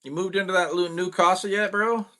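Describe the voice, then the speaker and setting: Man, bright and forceful timbre, easygoing host who talks to viewers like old friends. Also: high voice, steady tone, quick pace. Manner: laid-back, slangy, greets viewers. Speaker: livestream host. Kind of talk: casual chat with viewers.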